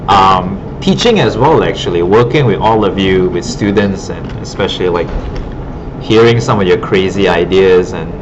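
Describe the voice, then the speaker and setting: A man teaching art, giving a casual, halting demo commentary in a classroom, thinking aloud as he goes. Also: deep voice. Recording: muffled broken audio, loud room noise.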